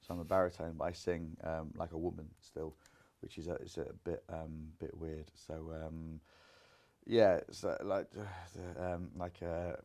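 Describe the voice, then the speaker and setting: Low, mellow-voiced young comedian. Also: male voice, little pitch movement, measured pace. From Grimsby, England. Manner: rambling, many fillers. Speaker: comedian and singer. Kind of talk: casual interview chat.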